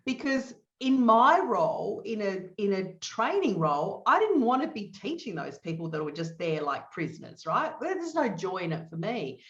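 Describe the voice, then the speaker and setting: A middle-aged Australian woman talks through her career as a podcast guest, leaning into her points and repeating words for emphasis. Her voice sits at an ordinary pitch for a woman. The audio sounds muffled and roomy, as if from a remote call. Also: sing-song, moderate pace, hardly any pauses. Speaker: guest, training consultant. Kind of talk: conversational interview answers. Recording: echoey, dull remote audio.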